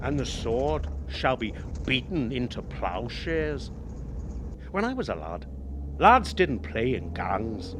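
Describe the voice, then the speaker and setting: An actor playing a chatty, wily older ex-cabbie in a radio drama, with a Northern Irish accent and a wry, insistent manner. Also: male voice, medium pitch, big pitch swings, slow pace, noisy background. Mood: reminiscing. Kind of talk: naturalistic radio drama acting.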